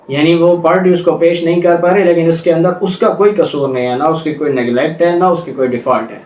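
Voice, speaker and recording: A man's voice: a little high, darkish tone. A man with a Pakistani accent giving an explanatory law lecture, reading out provisions and restating them in a didactic way. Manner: didactic, repeats and rephrases key points. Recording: roomy, distant mic with background noise.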